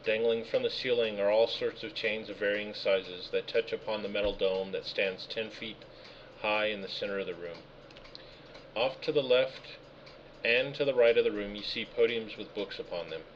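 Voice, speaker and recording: A man's voice: lowish voice, fairly even intonation, normal speed. A man running a tabletop game, reading out room descriptions and answering players patiently. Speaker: game master. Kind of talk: narrating a tabletop game session. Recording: muffled voice call with background noise.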